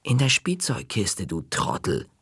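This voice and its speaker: A man's voice: on the low side, huge pitch swings, unhurried, a bit bright, breathy edge, dry recording, clean studio sound, full character voice. A man voicing a cheeky, teasing older brother who mocks the narrator in a storybook reading. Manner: mocking, smug.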